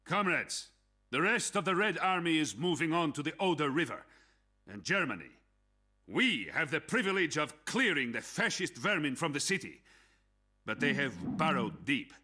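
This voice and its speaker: An actor playing a resolute Soviet officer giving a rallying military briefing in a game, with a raspy voice. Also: male voice, a little high, very animated, full character voice. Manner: forceful, rallying, measured.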